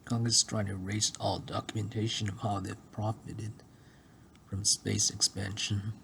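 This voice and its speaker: A man reading scripted dramatic dialogue in a deliberate delivery, phrase by phrase. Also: on the low side, flat delivery, vocal fry, pushed and bright, a little hiss or hum. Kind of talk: scripted dialogue read-through.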